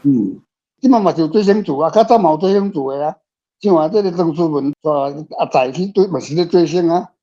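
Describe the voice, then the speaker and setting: A man's voice: on the high side, fairly quick, mellow. A man with a Taiwanese accent answering casually as a guest author in a panel discussion, rambling and repeating his words. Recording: dull, lo-fi sound.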